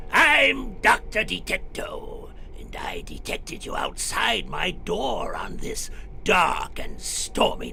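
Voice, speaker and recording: Casting: eccentric sinister doctor for old radio horror, heavily gravelly, high and swooping, insinuating, mock-polite. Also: male voice, pushed and bright, exaggerated voice. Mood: creepily welcoming. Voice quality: creaky. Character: eccentric, theatrical, sinister host doctor of a weird museum. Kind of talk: 1940s radio horror acting.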